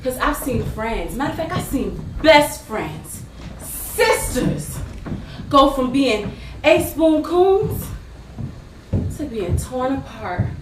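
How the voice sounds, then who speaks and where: An actress playing a fiery, wronged woman confessing a painful secret in a dramatic, drawn-out stage monologue. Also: high voice, very animated, exaggerated voice. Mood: torn, pleading. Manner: dramatic, emphatic, drawn-out delivery with long pauses. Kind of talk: theatrical stage monologue with singing. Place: stage in a theater hall. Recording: distant, echoey, noisy stage-play recording.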